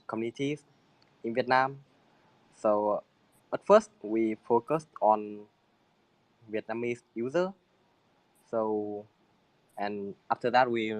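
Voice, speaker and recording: A man from a crypto project answering questions in halting English, restarting his sentences often. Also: on the low side, dry recording, poor audio. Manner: halting non-native English with many restarts. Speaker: crypto project team member.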